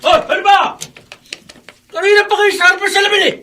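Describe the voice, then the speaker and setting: A money-grubbing, crooked Filipino man for radio drama. His voice sits extremely high with a very sharp, forceful edge, and he nags and blusters impatiently. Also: fairly even intonation, fast talker, a little hiss or hum. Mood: impatient, angry. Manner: blustering, nagging.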